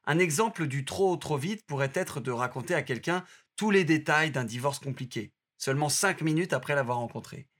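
A man reading an audio course aloud in a steady, instructive way. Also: fairly high pitch, moderate pace. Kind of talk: scripted narration.

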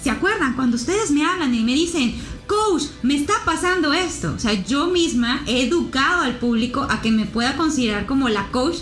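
A woman with a northern Mexican accent and a high voice. She talks as a relationship coach in a friendly, emphatic way. The room is echoey and faint music plays behind her. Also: animated, fairly quick, a bit bright. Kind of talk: podcast interview guest.

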